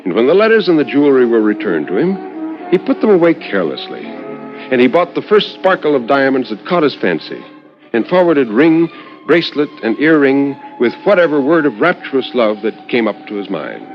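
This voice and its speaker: A man narrates in the style of a 1950s radio storyteller, on a dull vintage recording. His pitch moves widely and expressively through measured literary phrasing. He lingers on words and leaves dramatic pauses. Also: very animated, over music. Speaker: narrator reading a short story on a radio horror anthology.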